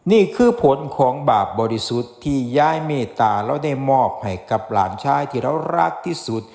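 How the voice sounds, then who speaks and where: Male storyteller, Isan accent, mid-pitched, folksy direct address, music bed underneath. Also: expressive, brisk, creaky, a little mellow. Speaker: folktale storyteller. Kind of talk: oral folktale narration. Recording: music behind the voice.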